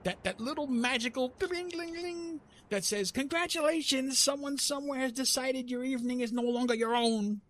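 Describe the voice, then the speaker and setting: A man voicing a weary, wry retired god of love in an ASMR roleplay, with dramatic, halting delivery and repeated words. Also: very high-pitched, fairly bright tone, pitched above the performer's own voice. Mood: exasperated dismay.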